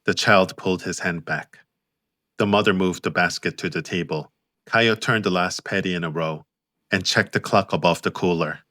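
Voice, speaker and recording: A man narrating his own audiobook in a measured, understated way. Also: low voice, bright, forceful tone, no echo, clean studio sound. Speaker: author and narrator.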